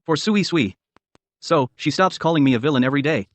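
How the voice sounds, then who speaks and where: A male actor as a rich young CEO husband in a romance drama. His voice sits a little high for a man and moves with some liveliness. He is calm, teasing and devoted. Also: fairly quick, no echo.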